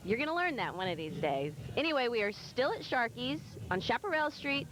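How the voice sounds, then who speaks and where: A woman's voice: fairly high pitch, sing-song, brisk, background noise. A woman hosting a local TV show on location, chatty, playful and teasing her guests. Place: busy downtown bar with live music. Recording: loud bar music behind.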